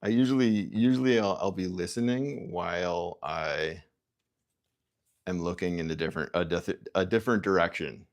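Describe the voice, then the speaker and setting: A man from Canada talks on a livestream in a very low voice with a gravelly, creaky edge. He muses aloud in halting, restarting sentences and reads viewer comments between thoughts. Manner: halting, self-interrupting, musing aloud. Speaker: livestream host. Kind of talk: rambling livestream chat.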